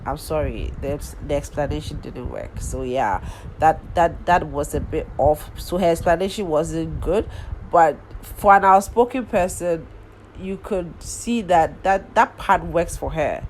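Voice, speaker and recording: A woman with a notably low voice and a head cold that leaves her sounding nasal. She talks in a loose, casual way, stopping for ums and restarting her sentences as she goes. A faint noise sits under her voice. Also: measured pace, a little mellow, low-quality recording. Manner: rambling, lots of ums and restarts. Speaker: vlogger recapping a reality show. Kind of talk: casual chatty recap.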